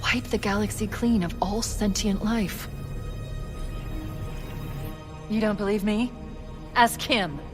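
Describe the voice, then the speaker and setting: A woman playing a sharp-tongued, protective AI companion in a video game, articulate and sarcastic. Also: mid-range voice, a little slow, over music, close to an everyday voice. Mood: bitter, grave. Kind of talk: cinematic video game acting.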